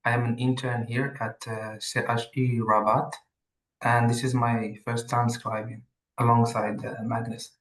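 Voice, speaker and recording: A man from Morocco, a medical intern, introducing himself in a hesitant, halting way. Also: mid-range voice, level tone. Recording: roomy echo on a call.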